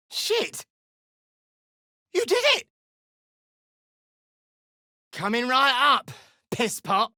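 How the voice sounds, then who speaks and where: A defiant little gnome woman from a fantasy game. Her pitch swings widely and her pace is measured and slow. She is blunt and scornful, in a close, dry studio sound. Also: a bit bright, dry recording, heavily acted. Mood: excited, then irritated, scornful. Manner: blunt, scornful, curses freely. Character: gruff, foul-mouthed female deep gnome, defiant and suspicious. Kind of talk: video game character dialogue.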